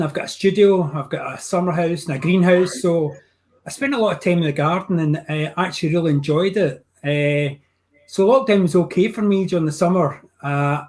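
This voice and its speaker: An older man with a Glasgow accent talks over a video call from his home art studio. His voice sits a little high for a man. He chats in a rambling, easygoing way, often restarting his sentences. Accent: Glaswegian. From Scotland. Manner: chatty, rambling with many restarts. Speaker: painting teacher. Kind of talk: casual video call chat.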